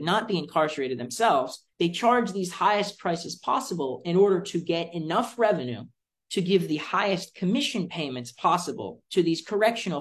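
Young American man, deliberate and articulate. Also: fairly high pitch. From Florida, United States. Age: young adult. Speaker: student policy advocate, interviewee. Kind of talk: explanatory interview answers.

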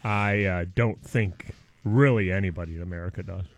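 A man's voice: low voice, normal speed, no room sound, highs cut off, soft background music. A man co-hosting a morning radio show in casual, joking banter, with a sore throat and a voice that is going. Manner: excitable, sarcastic, joking.

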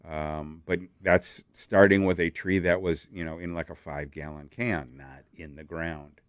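A deep, low-pitched man with a Wisconsin accent gives casual gardening advice. He stops and restarts often and repeats words as he thinks aloud. The sound is dry and close. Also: fairly even intonation, measured pace, dry recording. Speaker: garden show co-host. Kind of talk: casual radio advice chat.

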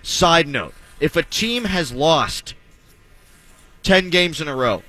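A man's voice: on the high side, very animated, takes it slowly, pushed and bright. A man hosting a sports talk radio show, joking and wry in his delivery.

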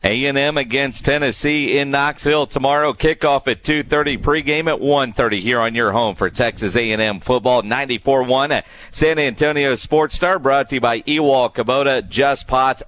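Man, middle-pitched voice, sports talk host, dry close-miked sound. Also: fairly bright tone, dry recording. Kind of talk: sports talk radio hosting.

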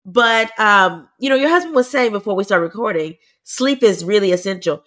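A woman's voice: mid-range voice, moderate pace. A woman with a stuffy, congested voice, co-hosting a casual podcast chat and explaining things plainly. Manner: animated, explains medical terms plainly. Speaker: podcast co-host.

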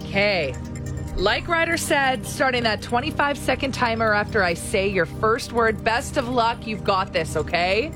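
Lively woman co-hosting morning radio. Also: on the high side, measured pace, over music. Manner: encouraging, playful teasing. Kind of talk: casual morning show banter and game hosting.

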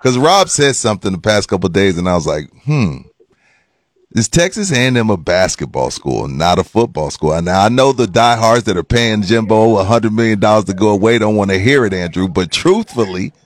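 A man's voice: lowish voice, animated, normal speed, no room sound, clean studio sound. A man co-hosting a sports radio show, chatting casually and jokingly with a guest. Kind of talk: casual, joking interview chat.

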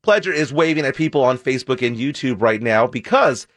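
A man hosting a sports radio show, chatty and upbeat, his voice scratchy and hoarse from an illness. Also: mid-range voice, expressive, fairly bright tone, no room sound, studio quality. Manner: upbeat, chatty, quick banter. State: hoarse, ill. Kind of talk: casual sports radio talk. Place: radio studio.

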